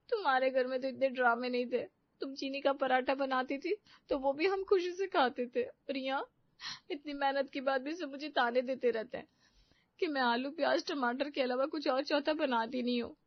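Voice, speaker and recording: A woman's voice: high voice, fairly even intonation, moderate pace, no echo, voice pushed up. A woman plays a young daughter-in-law in a cartoon family story. She is sweet, anxious and easily hurt, pleading in a raised voice. The sound is dry and slightly dull. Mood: tearful. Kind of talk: Hindi animated family story.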